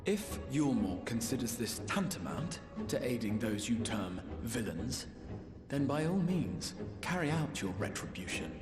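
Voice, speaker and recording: Game role: hooded sage-leader, polite yet unyielding, expressive delivery, reverberant hall with music. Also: male voice, mid-range voice. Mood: defiant resolve. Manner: measured, formal, theatrically polite. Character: calm, courteous, steely hooded mystic ruler. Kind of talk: dramatic video game cutscene acting.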